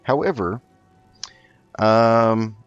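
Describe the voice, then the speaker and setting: A man with a fairly deep voice hosts a roleplay let's play. He speaks in a measured, halting way, narrating as he goes, with faint music underneath. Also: clean tone, dry recording, dull audio. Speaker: gaming video host.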